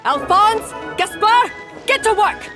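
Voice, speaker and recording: An imperious female head chef from a video game. She orders people about slowly in a very high voice, over clear music. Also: expressive, heavily acted. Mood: commanding.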